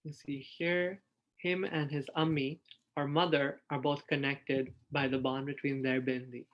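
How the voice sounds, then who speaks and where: A man's voice: medium pitch, flat delivery, a little slow, highs cut off. A man who is a drag artist, sharing stories in an enthusiastic, informal way. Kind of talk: picture-book reading and casual sharing.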